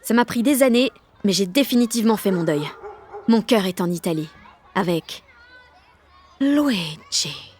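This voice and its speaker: A woman's voice: mid-range voice, lively intonation, unhurried, pauses often, darkish tone, close to an everyday voice. An actress playing a brash, lovestruck sixteen-year-old girl, narrating an audio drama in sarcastic, dramatic, slangy speech. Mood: dreamy, lovestruck. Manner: slangy, foul-mouthed, self-mocking. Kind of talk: first-person audio fiction narration with acted dialogue.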